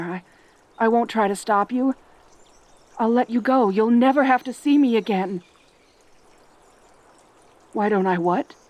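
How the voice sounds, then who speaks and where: A woman playing a lonely, possessive centaur girl in a roleplay audio drama, tender and desperate, with halting, sighing, pleading delivery. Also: fairly high pitch, dry recording.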